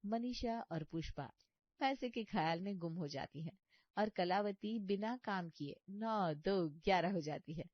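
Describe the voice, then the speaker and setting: A woman narrates a scripted story in a mid-pitched voice. The recording is very dry and slightly dull, with no room sound. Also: animated, a little slow, dry recording.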